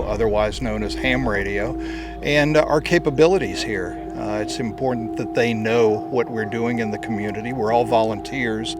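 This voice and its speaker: Man explaining, music playing behind. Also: fairly low pitch. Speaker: volunteer amateur radio group member. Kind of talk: interview explanation for a community profile.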